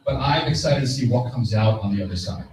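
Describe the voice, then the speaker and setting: A man speaking as a sports panelist in a lively live discussion, emphatic and repeating phrases for emphasis. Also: medium pitch, fast. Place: live convention panel stage. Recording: very echoey room, distant from mic.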